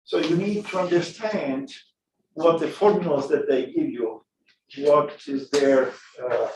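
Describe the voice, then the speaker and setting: A man from Bulgaria teaching a physics class, talking in a halting way with many fillers and restarts. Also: on the high side, a bit bright, a little hiss or hum. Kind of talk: classroom lecture. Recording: echoey classroom, distant mic.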